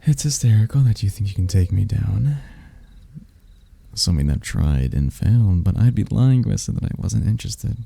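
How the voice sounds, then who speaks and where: A man voicing a seductive, smug demon in human form, smooth-talking, teasing and husky, in an intimate ASMR roleplay. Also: fairly low pitch, animated, normal speed, mellow, dry recording, heavily acted. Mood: amused, taunting. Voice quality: gravelly, husky.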